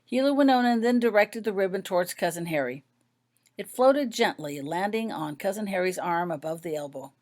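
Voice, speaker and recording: A woman's voice: medium pitch, lively intonation, moderate pace, darkish tone. A woman reading her own story aloud as scripted audiobook narration.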